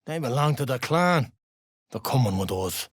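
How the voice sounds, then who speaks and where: An actor playing a foul-mouthed, surly duergar dwarf fighter in a video game, with a rough, husky voice and coarse slang. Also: male voice, mid-range voice, expressive, normal speed, no room sound, studio quality, lightly acted. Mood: gruff, surly. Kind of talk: video game character lines.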